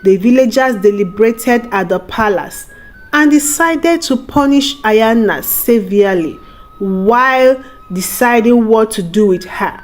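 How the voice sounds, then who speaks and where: A woman narrating a scripted folktale in a dramatic, unhurried storytelling manner. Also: a little high. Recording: music bed under the voice. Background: music.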